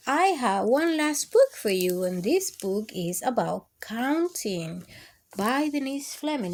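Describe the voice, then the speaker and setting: A woman reading picture books aloud to young children, speaking expressively, drawing out words and addressing the little listeners directly. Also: fairly high pitch. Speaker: children's storytime host and reader.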